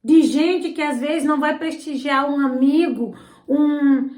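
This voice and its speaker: High-voiced woman, indignant commentator. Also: steady tone, measured pace, a little mellow. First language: not Portuguese. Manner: indignant and sarcastic. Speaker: channel host, political commentator. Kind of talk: opinionated political commentary. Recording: roomy echo.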